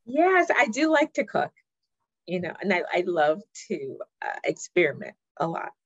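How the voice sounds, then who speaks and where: A woman's voice: fairly low pitch, big pitch swings, moderate pace, dry recording, muffled recording. A woman from Ghana in her thirties, a marketing director chatting casually as a podcast guest, with many fillers and restarts. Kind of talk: casual interview chat.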